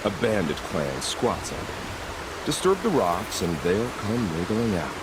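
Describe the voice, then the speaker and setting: An actor playing an eerie, bloodthirsty killer in a video game, with silky, theatrical menace. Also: male voice, lowish voice, very noisy. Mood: sly relish. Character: eerie, bloodthirsty male killer who relishes hunting bandits. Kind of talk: video game dialogue acting. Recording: steady rushing outdoor ambience behind.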